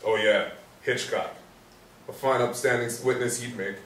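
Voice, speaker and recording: An actor playing a weary, cynical police sergeant with a blunt manner in a stage play reading. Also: male voice, medium pitch, steady tone, normal speed, fairly bright tone, natural voice. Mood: exasperated. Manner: blunt, jaded. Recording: roomy distant stage recording, faint noise.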